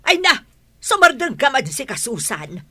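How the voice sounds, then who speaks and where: A woman's voice: high-pitched, sing-song, measured pace, sharp tone, no room sound, heavily acted. An actress with an Ilocano accent playing a nagging, money-minded older mother in a radio drama, by turns pleading and scolding. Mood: exasperated.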